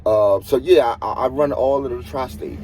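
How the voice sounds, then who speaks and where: A man with a husky voice, talking casually as a truck driver giving earnest, emphatic advice and repeating points for stress. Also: mid-range voice, moderate pace, background noise. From United States. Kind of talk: casual advisory monologue.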